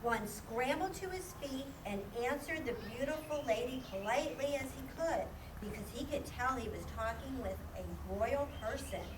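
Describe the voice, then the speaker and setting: A high-voiced woman tells a scripted story as narrator of a children's puppet show. She is recorded from a distance in an echoey room, with clear background noise. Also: animated, normal speed, few pauses.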